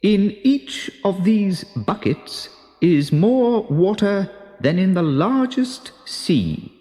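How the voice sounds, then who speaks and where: A man's voice: sits high, very animated, slow, warm tone, pitched above the performer's own voice, exaggerated voice. A man voicing a grand, grateful, wounded desert king in a storybook, with emphatic, declaiming speech. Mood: solemn, generous.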